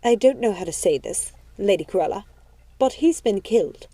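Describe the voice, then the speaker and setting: A woman's voice: mid-range voice, expressive, moderate pace, warm tone, dry recording, natural voice. A woman voicing a shaken female household servant, hesitant, deferential and apologetic, in an audiobook story.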